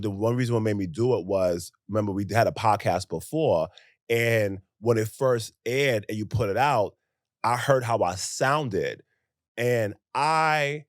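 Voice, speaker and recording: Young american dude, lowish voice, animated podcast chat, really punches his points, dry sound. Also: no echo. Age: young adult. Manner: animated, emphatic, with rhetorical questions and acted-out asides. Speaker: podcast co-host. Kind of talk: casual conversational podcast chat.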